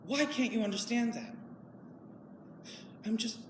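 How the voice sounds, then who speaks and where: A woman performing a monologue as a bitter grown son who pleads with his father, swinging between loud and quiet. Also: a little high, unhurried, a little hiss or hum, close to an everyday voice. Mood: anguished. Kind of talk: audition monologue. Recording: echoey hall, from far off.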